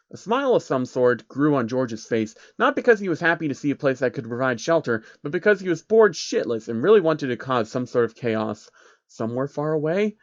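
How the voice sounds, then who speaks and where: Higher-pitched man narrating a comic story. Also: dry recording, lo-fi sound.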